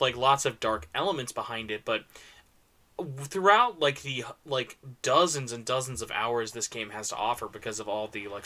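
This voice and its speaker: Guy on an online panel, super into it, bright sharp voice, rambly casual delivery, leans hard on words. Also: medium pitch. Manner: enthusiastic, emphatic, rambling with frequent "like". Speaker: convention panelist recommending visual novels. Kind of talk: casual, unscripted panel talk. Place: virtual convention panel, speaking online.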